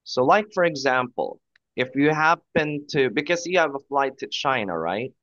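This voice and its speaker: A man's voice: mid-range voice, animated, moderate pace, a bit bright, dry recording, muffled recording. A man tutoring English one-on-one, patiently offering example phrases and encouragement. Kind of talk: one-on-one conversation lesson.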